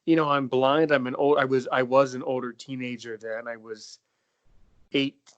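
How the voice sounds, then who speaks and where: A man's voice: mid-range voice, steady tone, moderate pace, dull audio. A young man hosting a podcast interview, talking casually with many fillers and restarts. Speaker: podcast host.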